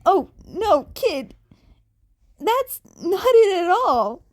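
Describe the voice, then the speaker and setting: A woman's voice: very high-pitched, sing-song, drawn-out words, pitched above the performer's own voice, heavily acted. A woman voicing an affectionate, upbeat, sincere man acting as a father figure in a fan fiction audiobook. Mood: reassuring.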